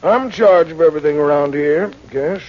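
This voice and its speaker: An actor playing a slow, folksy, noncommittal small-business manager in a 1940s radio drama, hesitant and unhurried. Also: male voice, fairly high pitch, close to an everyday voice. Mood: dithering. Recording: muffled 1940s radio recording.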